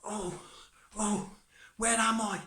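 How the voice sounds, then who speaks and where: A man voicing a tiny, cheeky, plucky boy in a folk tale, excitable and pleading. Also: sits high, unhurried, voice pushed up. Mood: panicked. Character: tiny plucky boy, cheeky and quick-talking to save himself.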